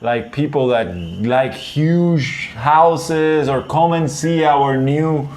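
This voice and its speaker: Puerto Rican man, halting casual talk. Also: on the high side, darkish tone, a little hiss or hum. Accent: Puerto Rican Spanish. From Puerto Rico. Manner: halting, searches for words, many restarts. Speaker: vlog co-host. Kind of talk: casual chat.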